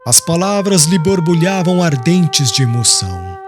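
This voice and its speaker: Expressive male narrator over prominent music. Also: on the high side, measured pace. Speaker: audiobook narrator. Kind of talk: dramatic literary narration. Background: music with woodwind.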